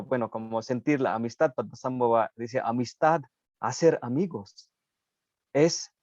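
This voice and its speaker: An unhurried man from India, speaking in a measured, halting way and often stopping to find the word he needs. His voice comes through a dull, muffled, low-fidelity recording with no room echo at all. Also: a little mellow. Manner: halting, searching for words, frequent pauses. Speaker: Buddhist practitioner guest. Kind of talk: reflective spiritual conversation.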